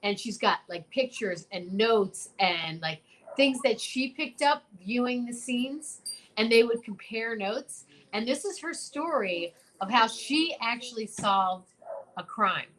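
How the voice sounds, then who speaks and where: A woman's voice: a little high, animated, takes it slowly, a bit bright, poor audio. A woman co-hosting a casual talk show, chatting in a gushing, emphatic way and often repeating herself. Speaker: co-host of a comedy talk show. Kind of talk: casual chat.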